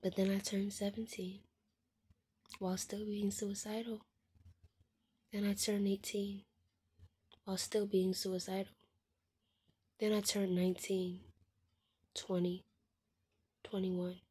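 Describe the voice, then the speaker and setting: A woman in her twenties speaking candidly to her viewers, frank and heartfelt, often dropping to a soft hushed voice and swearing bluntly. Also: mid-range voice, level tone, slow pace, long pauses, breathy edge. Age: 20s. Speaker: vlogger. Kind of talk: candid personal monologue to viewers.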